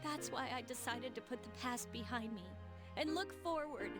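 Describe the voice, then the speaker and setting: A woman's voice: on the high side, normal speed, natural voice. A woman voicing a grieving, stubborn teenage girl in a video game, impassioned and pleading. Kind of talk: dramatic video game voice acting. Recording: game audio with loud music. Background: music.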